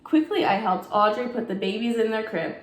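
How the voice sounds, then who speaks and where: A woman's voice: a little high, brisk. Woman reading aloud in an echoey room. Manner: expressive, asks young listeners questions. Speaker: teacher. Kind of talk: children's bedtime read-aloud.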